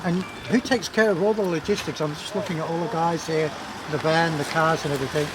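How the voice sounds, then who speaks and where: A man's voice: fairly high pitch, fairly even intonation, quick pace. A man interviewing a cyclist's team director outdoors, asking casual, chatty questions with a teasing edge. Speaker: cycling reporter. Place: outdoors at a race finish. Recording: outdoor crowd noise behind. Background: crowd and vehicles.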